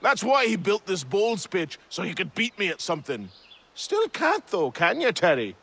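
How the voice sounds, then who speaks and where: A man's voice: sits high, huge pitch swings, normal speed, gravelly, pushed and bright, slight background noise, full character voice. A man playing an informal, good-natured frontier husband and brawler in a video game. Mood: teasing. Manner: ribbing, informal. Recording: faint insect and outdoor ambience behind.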